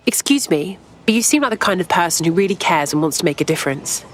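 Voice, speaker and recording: An actress playing an earnest, eager young resistance recruiter in a video game cutscene. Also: female voice, lowish voice, lively intonation, quick pace, a little hiss or hum, natural voice. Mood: earnest, concerned.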